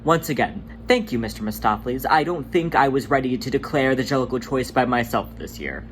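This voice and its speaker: A performer voicing an earnest, worn-down male cat leader who speaks haltingly and vulnerably, in a storybook fan reading. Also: mid-range voice, animated, a little hiss or hum, close to an everyday voice. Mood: grateful, weary.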